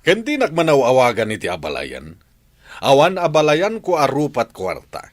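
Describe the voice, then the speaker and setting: A man playing a stern, mocking village moneylender in a radio drama, gruff and insistent. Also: medium pitch, wildly swooping pitch, normal speed, no echo. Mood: scornful, insistent. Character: stern, mocking village moneylender Señor Pedro, unbending creditor.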